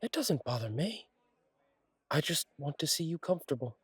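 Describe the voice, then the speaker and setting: A man playing a friendly, courteous angel bartender in an intimate roleplay audio, speaking gently with thoughtful pauses. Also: a little high, big pitch swings, breathy edge, dry recording, full character voice. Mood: warm, reassuring. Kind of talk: intimate roleplay audio acting, one side of a conversation.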